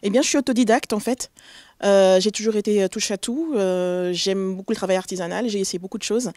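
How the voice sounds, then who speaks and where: A woman's voice: mid-range voice, a little fast, no room sound. Hesitant woman guest, dry sound. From Togo. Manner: hesitant, many fillers, warm and proud. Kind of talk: interview answers.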